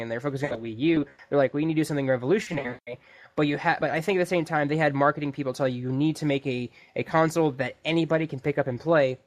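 A man co-hosting a podcast, talking casually and explaining his points, often acting out imagined remarks. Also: fairly high pitch. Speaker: podcast co-host. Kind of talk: casual gaming podcast discussion.

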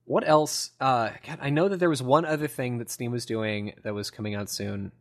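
A man's voice: mid-range voice, fairly even intonation, moderate pace. A man hosting a casual gaming podcast, explaining things in a rambling, enthusiastic way. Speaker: podcast host.

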